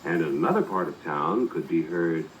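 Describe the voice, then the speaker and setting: A man's voice: deep voice, animated, normal speed, a little hiss or hum. A man announcing and narrating a 1940s radio show from a script with showy, emphatic delivery. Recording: muffled old radio recording with music.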